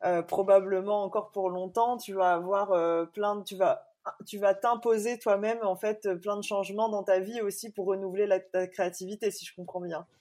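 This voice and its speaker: Brief: woman podcast host, warm mellow tone, hesitant with lots of fillers. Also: mid-range voice, fairly even intonation, moderate pace. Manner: hesitant, many fillers, friendly laughs. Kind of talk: interview.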